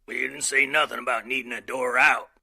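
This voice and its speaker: An actor playing a paranoid, folksy rural gun-store owner with a gruff drawl in an audio drama. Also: male voice, mid-range voice, fairly even intonation, brisk, pushed and bright, full character voice. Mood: hurried.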